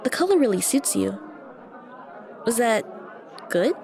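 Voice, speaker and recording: A woman's voice: fairly high pitch, big pitch swings, unhurried, gravelly, darkish tone, exaggerated voice. A woman playing a shy, anxious young stranger who rambles hesitantly and self-consciously to the listener in an ASMR roleplay. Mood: awkward, eager. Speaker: roleplay voice actress. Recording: busy cafe chatter behind voice. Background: coffee shop crowd murmur.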